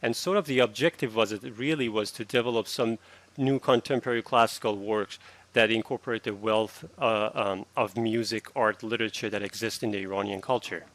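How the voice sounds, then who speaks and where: A man in his thirties from Iran, a classical guitarist answering interview questions earnestly, with halting restarts. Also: fairly low pitch, steady tone, fairly bright tone.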